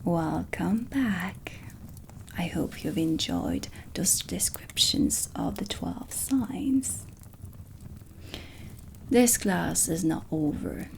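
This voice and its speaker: Slow, soft-spoken woman, half whispering. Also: lowish voice, lively intonation. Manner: soft, breathy, measured teaching delivery with deliberate pauses. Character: dark witch teacher, stern and aloof. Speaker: witch academy teacher in an ASMR roleplay. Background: ambient music.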